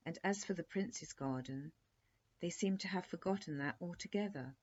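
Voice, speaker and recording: A woman reading a children's bedtime story aloud as an expressive narrator. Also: lowish voice, moderate pace, slightly rough. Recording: dull lo-fi recording.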